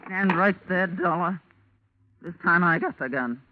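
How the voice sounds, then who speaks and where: An actress playing a scheming, hard-edged carnival crook in a radio crime drama, theatrical and defiant. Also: female voice, lowish voice, a little slow, close to an everyday voice. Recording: muffled, noisy old radio broadcast recording.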